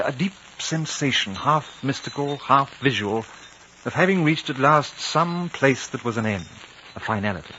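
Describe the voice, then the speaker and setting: A refined British gentleman adventurer played by a man in an old radio drama. His voice is bright and mid-pitched, measured and reflective, on a dull, faintly noisy recording. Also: natural voice. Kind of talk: 1950s radio drama narration and dialogue.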